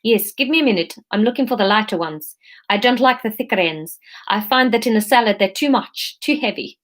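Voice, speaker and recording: A woman's voice: medium pitch, lively intonation, brisk, fairly bright tone, close to an everyday voice. A woman voicing a picky, guarded female shopper with a put-on South African accent. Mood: cautious, fussy. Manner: precise, fussy questions.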